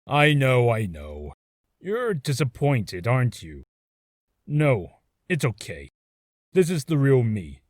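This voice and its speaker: A man voicing a sheepish, earnest TV hero actor from a video game, speaking haltingly. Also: mid-range voice, huge pitch swings, no echo, clean studio sound. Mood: apologetic. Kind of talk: video game character voice acting.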